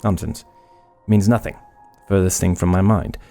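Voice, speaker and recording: An actor playing an aloof, obsessive scientist in a horror audio drama, speaking formally and dismissively. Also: male voice, deep voice, moderate pace, gravelly, warm tone, faint music behind.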